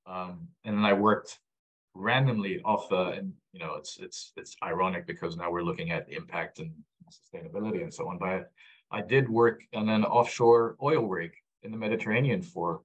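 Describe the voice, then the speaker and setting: A man's voice: low voice, normal speed, breathy edge, dull audio. A man speaking as a podcast guest, explaining his data work earnestly and repeating his main points with frequent restarts. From Egyptian background, raised abroad. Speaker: guest, founder of a data platform. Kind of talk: conversational podcast interview.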